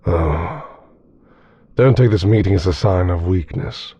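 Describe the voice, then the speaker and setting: A man performs a coldly menacing immortal in a dark fantasy audio drama. His low, husky voice is haughty and condescending, with theatrical pauses, in a dry, slightly muffled recording. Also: dry recording. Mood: mocking, menacing.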